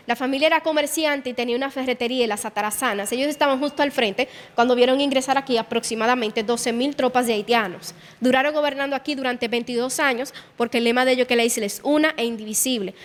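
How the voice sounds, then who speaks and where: Guía dominicana, voz aguda; explica historia en sala con eco largo. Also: female voice, high-pitched, fast talker, few pauses, in a large hall. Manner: explanatory, narrates history with quoted dialogue. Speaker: museum guide. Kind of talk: guided tour explanation. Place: indoor exhibition hall.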